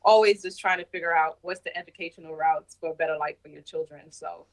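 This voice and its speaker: A woman from Jamaica, raised in Brooklyn, talking as a podcast guest in an unhurried, reflective way. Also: lowish voice, fairly even intonation, rough recording. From Jamaica, raised in Brooklyn, New York. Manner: unhurried, reflective storytelling with light laughs. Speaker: podcast guest, Navy senior chief. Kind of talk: casual interview conversation. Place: home, remote call from abroad.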